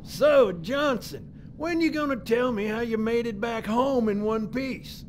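A man's voice: very high-pitched, measured pace, a little hiss or hum. A man playing a grumpy, blunt armory sergeant in a video game. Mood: grumbling. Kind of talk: video game cutscene acting.

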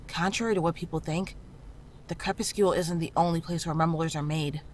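A woman playing a calm, frank town healer in a horror visual novel, speaking in a measured, reassuring way. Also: sits low, lightly acted. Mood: serious, confiding. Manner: measured, matter-of-fact, reassuring. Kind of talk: visual novel character dialogue. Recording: clearly audible background noise, ambience.

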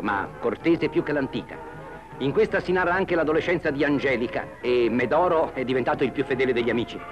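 A man's voice: mid-range voice, dull audio. A man reading ornate, literary newsreel narration.

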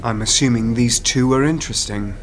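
A man acts a wry male lecturer in a radio play, sceptical and questioning. His voice sits at a middling pitch, over a faint bed of background noise. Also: a little fast, lightly acted. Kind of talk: radio drama acting, dialogue.

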